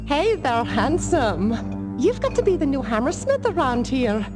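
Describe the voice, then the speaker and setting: A woman's voice: high voice, sing-song, a little slow, over music. A woman playing a bold, flirty female dwarf shield-maker in a cartoon adventure game, coy and suggestive. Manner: coy, suggestive, sugary pet names.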